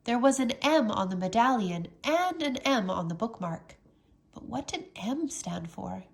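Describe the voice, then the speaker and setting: A woman reading a children's storybook aloud as a teacher, with expressive, dramatic narration. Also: fairly high pitch, unhurried, creaky.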